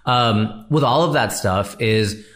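A man's voice: mid-range voice, takes it slowly, a bit bright. A man who hosts a podcast and does comedy, giving casual, slangy advice to a listener and laughing at his own jokes. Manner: chatty and slangy, calls listener bro and dude.

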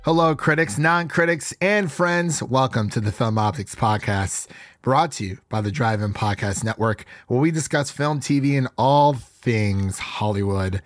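A man hosting a podcast in casual chat, his voice raspy and stuffy from allergies, rambling with restarts. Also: mid-range voice, measured pace, no room sound, studio quality. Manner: chatty, rambling with frequent restarts. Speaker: podcast host.

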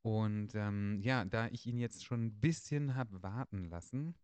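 A man hosting a casual podcast, relaxed and chatty with frequent fillers. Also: lowish voice, unhurried, smooth, no echo. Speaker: podcast host.

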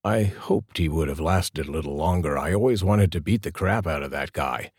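Man reading as a hulking loyal buddy in an audiobook, deep husky low voice. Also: moderate pace, darkish tone, no room sound, studio quality, heavily acted. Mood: friendly. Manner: friendly, easygoing. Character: big strong loyal man, friendly and tough.

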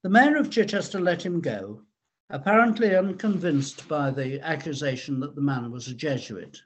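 Husky higher-voiced man reading history aloud. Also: animated, normal speed. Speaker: researcher and reader of a local history account. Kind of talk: scripted historical narration, read aloud.

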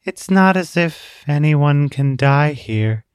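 A man voicing a slow, weary, monotone girl. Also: on the high side, clear, resonant tone, dry recording, clean studio sound, voice pushed up. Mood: weary calm. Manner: flat, slow, calmly detached.

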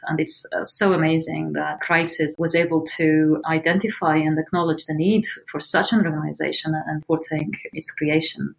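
A woman from Portugal with a Portuguese accent, answering interview questions in an earnest, measured way. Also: low voice, creaky, low-quality recording. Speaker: founder of a homelessness research organization.

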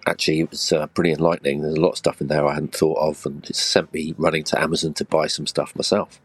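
A fellow podcaster leaving a friendly, teasing voice message. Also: male voice, a bass voice, flat delivery, fast, slightly rough, no room sound. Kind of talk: recorded voice message.

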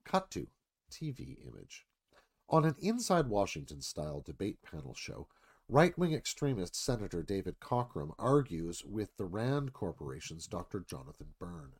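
Low, husky male screenplay narrator. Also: big pitch swings, normal speed, a little mellow. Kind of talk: screenplay narration, live read.

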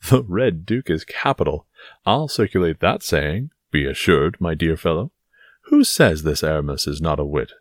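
A man's voice: very deep voice, animated, normal speed, dry recording, studio quality. A man voicing a boastful, self-satisfied musketeer in an audiobook adventure story, exclaiming theatrically. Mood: delighted, mocking. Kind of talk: dramatised audiobook reading of a classic adventure novel.

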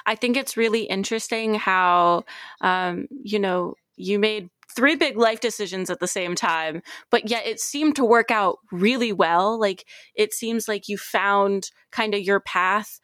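A woman's voice: medium pitch, a little slow, fairly bright tone, dry recording. Woman interviewer, dry close sound. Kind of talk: casual interview question.

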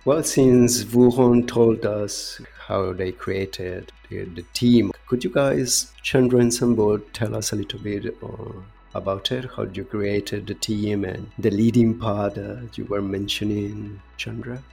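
A man hosting a podcast interview with a gravelly, husky voice. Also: fairly low pitch, fairly even intonation, a little slow, hardly any pauses, warm tone, faint music behind. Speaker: podcast host.

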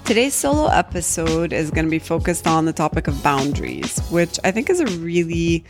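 A young woman, hosting a solo podcast in a chatty, candid way and mixing Arabic phrases into her English, her voice a little rough from an early morning. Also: low voice, lively intonation, fairly quick, music in the background. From Egypt. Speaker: podcast host.

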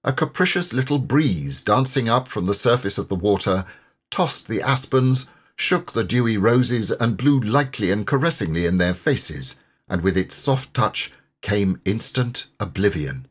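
Guy reading a classic storybook audiobook, lowish husky voice, expressive and measured. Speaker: audiobook narrator. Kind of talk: expressive literary storybook narration.

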